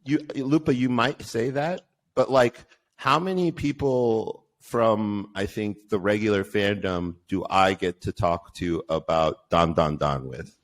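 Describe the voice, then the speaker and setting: A man with a lowish voice talks in a loose, rambling way. He restarts his sentences often and teases the others in the group. It sounds like a relaxed podcast conversation. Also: a little slow. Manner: rambling, many restarts, teasing. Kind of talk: casual panel chat.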